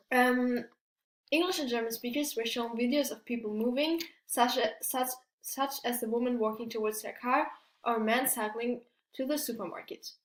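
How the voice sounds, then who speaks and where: Hesitant young woman presenting, echoey room. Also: on the high side. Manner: hesitant, many restarts and fillers, non-native English phrasing. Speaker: student presenter. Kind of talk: class presentation.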